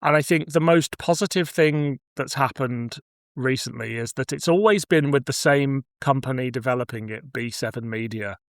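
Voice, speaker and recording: A man's voice: medium pitch, moderate pace, dry recording. Man in casual podcast chat, dry close sound. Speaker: podcast host. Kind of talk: casual discussion of cult TV and audio drama.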